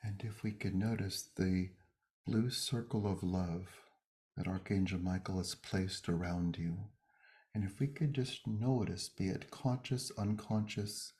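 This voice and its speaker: A man leading a guided meditation and prayer, speaking gently and unhurriedly in short phrases with pauses between them. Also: sits low.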